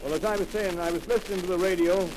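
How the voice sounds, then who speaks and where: A man doing a drowsy country farmer, higher-pitched than most men, drawling slowly and rambling as he tells his story. The old radio broadcast is hissy with noise. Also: steady tone, lightly acted. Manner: slow rustic drawl, hesitant and rambling. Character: drowsy old rural farmer, slow and plain-spoken. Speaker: farm owner interviewed on air. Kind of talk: 1930s radio drama acting.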